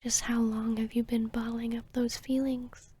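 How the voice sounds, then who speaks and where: A woman voicing an arrogant, seductive vampiress hypnotist in a whispered ASMR roleplay, with a breathy, smug, teasing delivery. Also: a little high, little pitch movement, warm tone. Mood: probing, smug. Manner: slow, smug, teasing, deliberate.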